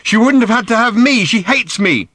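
Audiobook role: wounded, impatient teenage girl played by a man, high and sharp, hurling furious accusations. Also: lively intonation, normal speed, no echo, studio quality, full character voice. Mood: bitter, hurt. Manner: shouting, accusatory outbursts.

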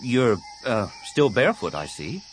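A man's voice: medium pitch, huge pitch swings, measured pace, a little hiss or hum, pitched above the performer's own voice, poor audio. A man voicing an earnest, slightly awkward teenage boy hero in an audiobook. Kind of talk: audiobook character dialogue.

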